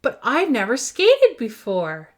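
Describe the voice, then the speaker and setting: A woman's voice: fairly high pitch, wildly swooping pitch, slow, voice pushed up, exaggerated voice. A woman voicing a sulky, defiant young girl villain in a children's storybook, petulant and taunting. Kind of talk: children's storybook character reading.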